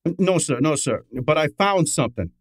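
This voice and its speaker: A man's voice: on the high side, animated, moderate pace, no echo, clean studio sound, close to an everyday voice. Man acting a breezy wisecracking radio sidekick. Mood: eager, teasing. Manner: breezy, slangy, teasing. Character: brash, wisecracking detective's assistant, loyal streetwise man. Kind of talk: old-time radio drama acting.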